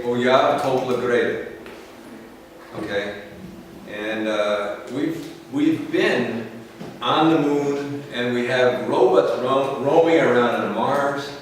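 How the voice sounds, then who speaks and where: An American man giving a slow, explanatory lecture to a school class, often checking in with "okay?". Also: mid-range voice, slight background noise. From United States. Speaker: English teacher. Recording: echoey classroom from far off.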